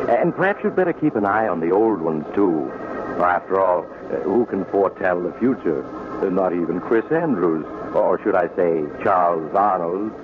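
An actor playing a sly, genial traveler with a mock-friendly, sinister air, in 1940s radio style. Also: male voice, sits low. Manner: chatty, mock-friendly, insinuating. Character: sly, genial male mysterious traveler, sinister storyteller on a train. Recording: noisy, tinny old radio recording.

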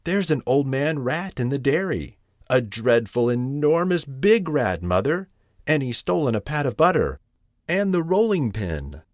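A man doing a high, frightened little girl kitten. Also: very animated, a little slow, dry recording, studio quality. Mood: alarmed. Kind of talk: children's storybook character voice.

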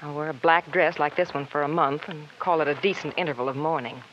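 A coldly candid young woman from a vintage radio mystery, played by an actress. Her voice is slightly low for a man's ear but plainly female, blunt and defiant. The recording is dull and faintly noisy. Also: steady tone, quick pace, close to an everyday voice. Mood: cool, defiant. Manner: blunt, coolly unsentimental. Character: cold, candid young society woman, hateful sister. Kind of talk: 1950s radio crime drama acting.

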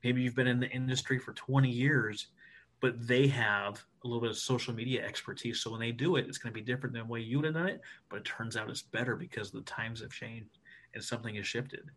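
A man from the United States, a Navy officer guesting on a podcast, talking in an animated, emphatic and self-deprecating way. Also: medium pitch, quick pace. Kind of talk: casual podcast interview.

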